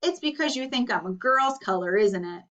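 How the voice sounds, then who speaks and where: A woman's voice: on the high side, animated, normal speed, a bit bright, recorded from a distance. A woman voicing a sassy, neglected crayon in a storybook, pointed and demanding. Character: sassy, neglected pink crayon, bossy and proud.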